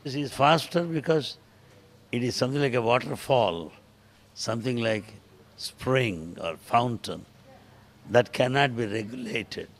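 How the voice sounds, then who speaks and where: An older man with an Indian accent and Telugu as his first language, answering interview questions at a measured pace with small pauses and fillers. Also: mid-range voice, expressive. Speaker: interviewee, translator.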